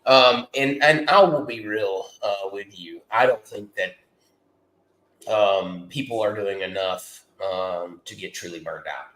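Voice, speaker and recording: A man's voice: lowish voice, takes it slowly, fairly bright tone, a little hiss or hum. Nasal congested man, echoey room. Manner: rambling with many fillers and restarts. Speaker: podcast co-host. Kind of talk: casual chat.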